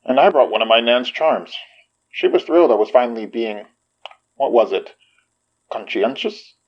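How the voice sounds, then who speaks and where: An actor playing a caring, skeptical young man who gently teases and probes his friend, in a naturalistic audio drama. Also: mid-range voice, animated, normal speed, dull audio, close to an everyday voice. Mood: teasing.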